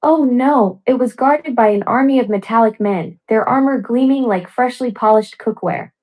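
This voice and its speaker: Female voice assistant, fairly high register, arch storyteller reading a tongue-in-cheek fairy tale. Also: moderate pace, a little mellow, rough recording. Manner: wry, arch, theatrical asides. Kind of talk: scripted storybook narration.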